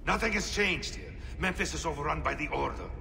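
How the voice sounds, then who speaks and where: A man's voice: on the high side, fairly quick. An actor playing a troubled Egyptian man pleading for his people in a game cutscene. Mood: urgent. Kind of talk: dramatic game cutscene acting. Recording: distant, reverberant, clear background noise.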